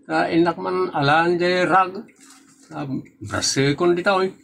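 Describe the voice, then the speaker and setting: A man acting the part of a fussy husband in a homemade comedy skit, complaining and teasing in quick bursts. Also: high voice, steady tone, gravelly, fairly bright tone, slight background noise, heavily acted. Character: fussy husband, protective of his leather shoes.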